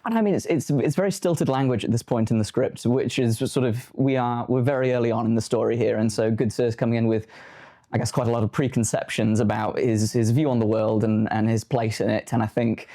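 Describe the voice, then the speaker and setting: A man's voice: medium pitch, steady tone, fairly quick, mellow. An actor talking thoughtfully about the role he just read on a panel. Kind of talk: casual panel chat.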